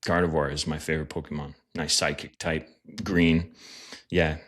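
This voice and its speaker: A man's voice: extremely low pitch, flat delivery, normal speed, fairly bright tone. A man talking as a podcast guest, a pastor and writer, speaking in an earnest, reflective way with frequent fillers. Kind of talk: casual interview chat.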